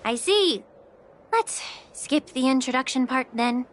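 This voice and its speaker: An actress playing a composed, courteous female healer in a video game, speaking in a measured, formal, gently ironic way. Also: sits high, lively intonation. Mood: pleasantly surprised. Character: composed female healer, courteous, quietly pointed, world-weary.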